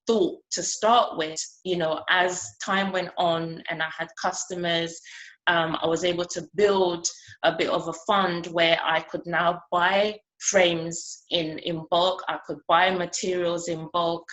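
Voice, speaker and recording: A woman from Ghana gives a conference talk about her art. Her voice sits a little low, and she speaks in an earnest, conversational way. She pauses often with a soft "um" between thoughts. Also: fairly even intonation, dull audio. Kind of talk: conference speech.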